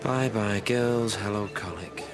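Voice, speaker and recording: Actor playing a wry bloke, low voice, blunt, music under him. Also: male voice, a little slow, natural voice. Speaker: soap opera actor. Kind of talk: television soap drama.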